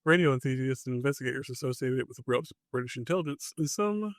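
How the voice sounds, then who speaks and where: A man hosting a podcast, narrating from a script in short, suspenseful phrases with dry, deadpan humor. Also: mid-range voice, fast, no echo. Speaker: podcast host.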